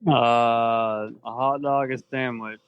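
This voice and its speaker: A man's voice: medium pitch, steady tone, takes it slowly, smooth, no room sound, narrow, tinny audio. A man chatting as a podcast guest, giving short, casual and somewhat hesitant answers. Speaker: podcast guest, pro cornhole player. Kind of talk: casual chat.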